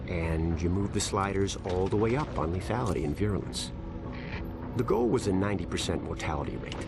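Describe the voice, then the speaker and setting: An actor playing a calm, fanatical scientist villain in a video game, musing in a condescending, self-satisfied monologue. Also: male voice, low voice. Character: smug, calm, fanatical male scientist villain justifying mass death. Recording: loud music under the voice.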